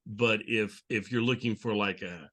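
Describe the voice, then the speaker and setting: A man's voice: lowish voice, fairly even intonation, normal speed, a bit bright. A man hosting a podcast, chatting casually and explaining things in an informal way. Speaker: podcast host. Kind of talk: casual chat introducing a show episode.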